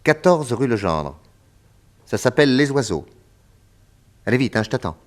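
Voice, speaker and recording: An actor playing a shrewd French detective, smooth, probing and brisk, in a 1960s radio serial. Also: male voice, medium pitch, very animated, lightly acted. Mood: brisk, commanding.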